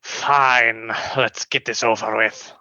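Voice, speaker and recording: A man's voice: medium pitch, fairly even intonation, takes it slowly, bright, forceful tone, highs cut off, full character voice. A man acting a curt, aloof alien officer, clipped and formal, in an audio drama. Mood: curt, unimpressed.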